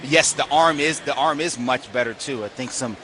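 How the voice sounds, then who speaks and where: A man's voice: mid-range voice, normal speed, pushed and bright. A man with an American accent giving conversational live analysis as a radio baseball commentator. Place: stadium broadcast booth. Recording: clear outdoor ballpark ambience noise.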